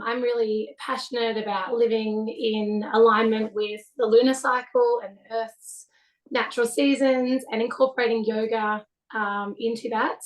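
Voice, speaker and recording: A woman with a slightly croaky voice, a newly graduated yoga teacher, introducing herself a little nervously and hesitantly. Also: a little high, low-quality recording.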